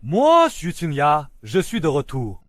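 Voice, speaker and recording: A man playing a vengeful young cultivator back from exile in a dubbed animated fantasy drama. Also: a little high, slow pace, dry recording, studio quality, exaggerated voice. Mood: grimly vengeful.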